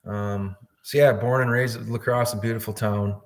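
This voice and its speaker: A man in his fifties from Wisconsin chats as a guest on a podcast. He is friendly and rambling and often repeats words as he talks. His voice sits a little low. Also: steady tone, moderate pace. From Wisconsin, USA. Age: 50s. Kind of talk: casual interview talk.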